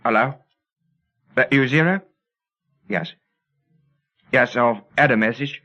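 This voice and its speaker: A man's voice: mid-range voice, slow pace, bright, forceful tone. A man playing a plucky, cheeky Cockney in a radio serial, chirpy and full of slangy exclamations, unimpressed by threats. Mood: guarded. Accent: English Cockney. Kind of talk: vintage radio serial acting. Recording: dull, band-limited old radio recording.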